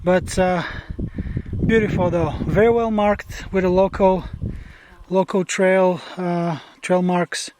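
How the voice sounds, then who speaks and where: Young male hiker vlogger, native Bulgarian speaker; unhurried, filler-heavy talk outdoors on a mountain trail. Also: high-pitched, fairly even intonation, a little mellow, a little hiss or hum. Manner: slow, hesitant, many fillers. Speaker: hiking vlogger. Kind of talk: casual trail vlog.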